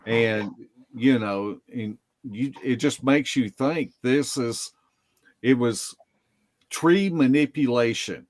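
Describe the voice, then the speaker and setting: A man telling stories casually as a podcast guest, in a halting, deliberate way. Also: mid-range voice, darkish tone, dry recording. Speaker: podcast guest, Bigfoot investigator. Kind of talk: casual storytelling.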